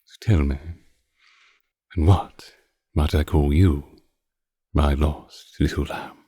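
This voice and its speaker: A man's voice: very deep voice, fairly even intonation, slow pace, dark tone, slightly rough, dry recording, studio quality, heavily acted. A man playing an ancient, courtly vampire count in an intimate roleplay audio, with formal, archaic phrasing and a teasing, condescending, predatory manner. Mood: flirtatious, teasing.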